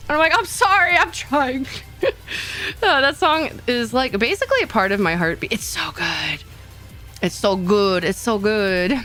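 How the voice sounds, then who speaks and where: A woman's voice: on the high side, lively intonation, unhurried, pushed and bright, soft background music. A young woman streaming casually, rambling and teasing herself, with many fillers and laughs. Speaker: VTuber streamer.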